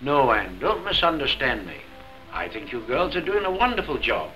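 A man's voice: mid-range voice, sing-song, normal speed, sharp tone, low-quality recording. A middle-aged actor playing an English pub darts skipper and father, jovial and a bit patronising, in a 1940s film. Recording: 1940s film soundtrack with music behind.

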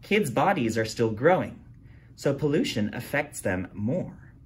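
A man reading a children's picture book aloud, jumping to a higher voice for the characters' lines. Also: unhurried, husky. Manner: animated read-aloud, jumps high for character quotes. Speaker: storytime reader.

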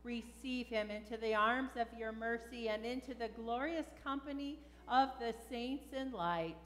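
A woman pastor leading a funeral liturgy in a measured, solemn way in a large church. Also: on the high side. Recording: echoey church hall with background noise.

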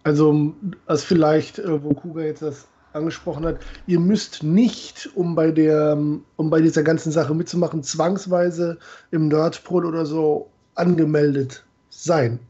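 Dull voice-chat audio, male, fairly high voice, casual co-host, halting explanations. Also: steady tone, dark tone. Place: room at home, on a group video call. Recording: slightly dull voice-chat sound.

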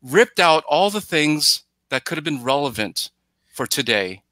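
A man's voice: a little high, sing-song, a little slow, sharp tone, no room sound. A middle-aged man chatting casually about films in a rambling, emphatic way, slipping into imagined quotes, on a headset in a video call. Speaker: podcast guest commentator. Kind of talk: casual film discussion. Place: indoors, plain room, video call.

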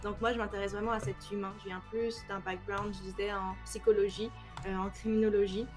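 French woman in her 30s, casual interview over video call, lively talker, bit of music in the back. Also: mid-range voice, fairly quick. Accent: French, with Quebec expressions. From France. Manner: animated, explains with many examples, gestures with hands. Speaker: cybersecurity trainer, guest. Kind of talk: casual interview on a live stream. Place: home room, indoors.

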